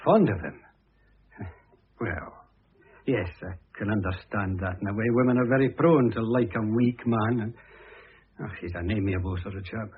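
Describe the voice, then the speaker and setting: An actor playing a conceited, blustering middle-aged former husband in a vintage radio drama, blunt and pompous in manner. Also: male voice, lowish voice, moderate pace, long pauses, slightly rough. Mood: indignant, dismissive. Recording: muffled, narrow-band old radio recording.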